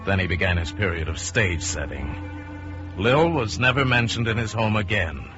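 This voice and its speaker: An actor playing a married man coolly plotting murder, narrating and acting in a measured, deliberate mid-century radio crime drama style. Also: fairly low pitch, lively intonation, natural voice. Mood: brooding, measured. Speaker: narrator and lead character. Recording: dull old radio recording, music underneath.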